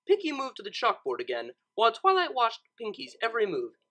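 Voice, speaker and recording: A woman's voice: fairly high pitch, wildly swooping pitch, brisk, a bit bright. A woman reading fan fiction aloud as narrator, in a storybook style.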